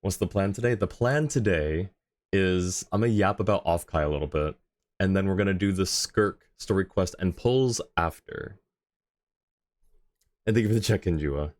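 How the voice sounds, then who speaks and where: A man with a low-pitched voice hosts a livestream. He talks casually and sociably with his audience, in a friendly, conversational way. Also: moderate pace, darkish tone. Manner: friendly, chatty, thanks and greets viewers by turns. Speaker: live streamer, host. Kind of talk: casual livestream chat with viewers.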